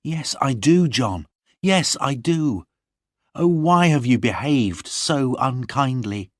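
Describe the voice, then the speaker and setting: A man's voice: medium pitch, expressive, takes it slowly, no echo, studio quality, voice pushed up. A man doing a passionate young noblewoman. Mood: pleading. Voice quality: raised, emotional delivery.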